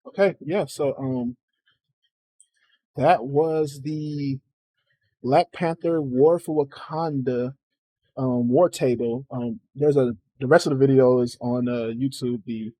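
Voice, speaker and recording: A man's voice: mid-range voice, dark tone, no room sound, low-quality recording. An American man chatting casually as the host of a reaction video. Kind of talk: casual chat, reacting to a game showcase.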